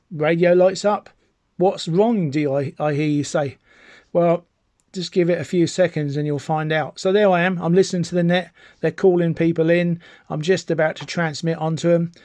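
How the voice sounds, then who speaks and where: Higher-voiced British man, chatty hobbyist demo. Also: a little mellow, no echo. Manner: chatty, enthusiastic, rambling. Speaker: amateur radio enthusiast presenting a video. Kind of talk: informal product demonstration to camera.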